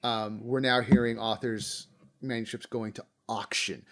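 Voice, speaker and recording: A man's voice: mid-range voice, steady tone, a little slow, a bit bright. Middle aged guy hosting a podcast, casual chatty, does little imagined reactions while telling stuff. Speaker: podcast host. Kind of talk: casual interview chat.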